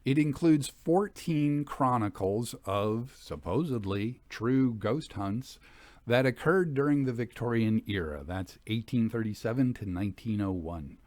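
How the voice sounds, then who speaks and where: A man hosting and reading for a literary podcast, speaking articulately with measured phrasing and expressive emphasis. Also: medium pitch, no echo. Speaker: host and reader of a ghost story podcast. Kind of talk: literary reading and informal scripted commentary.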